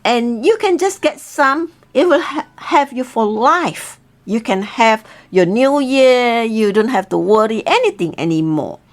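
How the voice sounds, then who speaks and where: A woman's voice: fairly high pitch, lively intonation, a little slow, few pauses. A woman voicing a mother eagle, polite and earnest, in a storybook tale. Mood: bargaining. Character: pleading mother eagle guarding her three babies.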